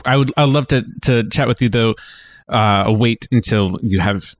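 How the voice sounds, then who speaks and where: A man co-hosting a podcast in relaxed, conversational back-and-forth. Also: mid-range voice, moderate pace, no echo. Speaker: podcast co-host. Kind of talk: casual podcast chat.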